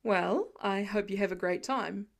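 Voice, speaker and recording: A woman puts on a middle-aged male lieutenant, dropped well below her natural register. He speaks in a steady, measured way, polite and fatherly, as in an audiobook. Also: mid-range voice, creaky, pitched below the performer's own voice. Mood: friendly.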